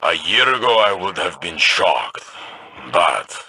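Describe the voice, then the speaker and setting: An actor voicing an earnest warrior in a video game, with a deep voice. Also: male voice, sing-song, slow, pushed and bright, a little hiss or hum, exaggerated voice.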